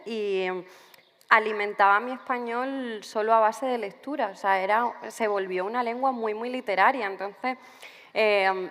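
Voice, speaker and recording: Woman, reflective, restarts often, mid-pitched, long reverb. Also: fairly bright tone. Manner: reflective, thinks aloud with restarts. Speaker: writer being interviewed. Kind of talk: festival stage interview. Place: festival venue.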